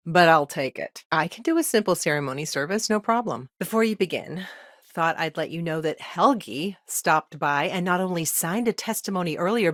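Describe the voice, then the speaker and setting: A woman voicing a weary, earnest lawyer in a video game, speaking in measured, articulate, formal phrases with wry asides. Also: lowish voice, fairly bright tone, no room sound, clean studio sound. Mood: wry, businesslike. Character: exhausted, dry-witted woman lawyer, earnest and principled. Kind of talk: naturalistic video game dialogue acting.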